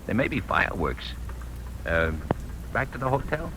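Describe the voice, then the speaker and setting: An actor playing a gabby, streetwise taxi driver in a vintage radio crime drama, with a folksy, emphatic, slightly stammering delivery. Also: male voice, fairly low pitch, sing-song, normal speed. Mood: gossipy. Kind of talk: 1940s-50s radio crime drama acting. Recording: noisy old radio recording, rain over it.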